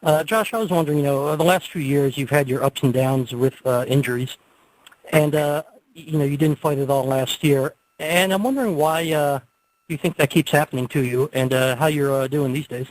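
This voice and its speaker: A man's voice: a little high, brisk. A man working as a sports reporter, asking questions on a press call in hesitant, broken-up phrases. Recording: faintly noisy conference call line.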